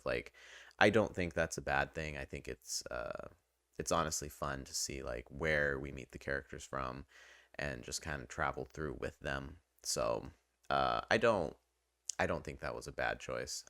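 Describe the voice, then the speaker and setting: A man's voice: very deep voice, a bit bright, clear, resonant tone, dry recording, studio quality. A young man hosting a casual, unscripted video review, talking in a rambling, hesitant way. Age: young adult. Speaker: video host.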